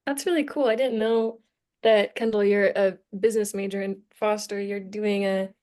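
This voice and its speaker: A woman's voice: medium pitch, steady tone, moderate pace, mellow. Young American woman chatting casually. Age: young adult. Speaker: student podcast co-host. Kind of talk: casual chat.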